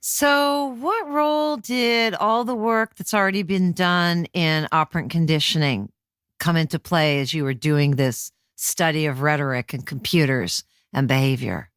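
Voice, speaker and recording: A woman's voice: low-pitched, measured pace, fairly bright tone, no echo, studio quality. A woman hosting a conversational interview, asking questions and chatting with her guest. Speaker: interview host.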